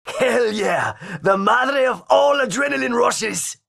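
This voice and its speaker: An actor playing a reckless young male daredevil who whoops and shouts with excitement. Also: very high voice, takes it slowly, vocal fry, full character voice. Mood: thrilled.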